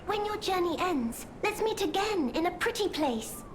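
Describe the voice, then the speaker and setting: High-voiced gentle childlike automaton girl. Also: animated, moderate pace, a little hiss or hum. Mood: tender, hopeful. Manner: earnest, simple, tender phrasing. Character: gentle childlike female automaton, innocent, wistful and devoted. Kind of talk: video game voice acting.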